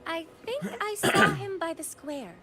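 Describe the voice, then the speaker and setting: A woman's voice: very high voice, expressive, unhurried. A woman playing a polite, helpful young woman in a video game, with courteous, tidy diction. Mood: friendly, helpful. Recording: light music behind the voice.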